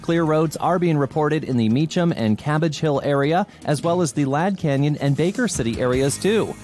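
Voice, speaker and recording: Male traffic bulletin reader, scripted delivery, slightly high pitch, music underneath. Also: moderate pace, darkish tone. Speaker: road report announcer. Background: music bed.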